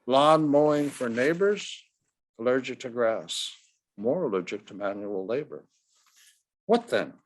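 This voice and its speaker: A man, an author, reads his short story expressively, with deliberate, dramatic phrasing and strong emphasis. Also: medium pitch, animated. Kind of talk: expressive literary reading.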